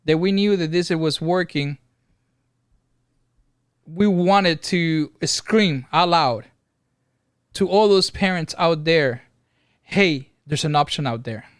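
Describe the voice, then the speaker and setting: A man's voice: a little high, smooth, no echo. A middle-aged father co-hosting a family podcast at home, speaking earnestly and thoughtfully into a close studio mic. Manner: earnest, thoughtful, halting with fillers. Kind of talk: casual family podcast talk. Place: home room. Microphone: studio mic on boom, headset.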